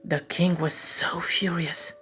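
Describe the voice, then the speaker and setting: A woman narrating a children's bedtime story in a measured, expressive storytelling style. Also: on the low side, breathy edge, soft background music.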